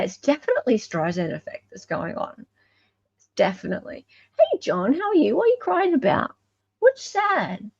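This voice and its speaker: A lively Australian woman with a highish voice that swings widely in pitch. She chats casually as a livestream host, leaning on key words and tossing out questions. The sound is close and dry, though slightly dull and lo-fi. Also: no echo. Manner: chatty, dramatic pauses and emphasis, rhetorical questions. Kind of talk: casual live gossip commentary.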